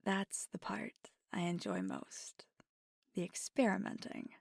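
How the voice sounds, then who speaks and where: Woman acting as a flirty vampire gf asmr, soft and whispery, slow w lots of vocal fry, playful n affectionate. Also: medium pitch, sing-song, no room sound, clean studio sound, exaggerated voice. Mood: chatty, flirty.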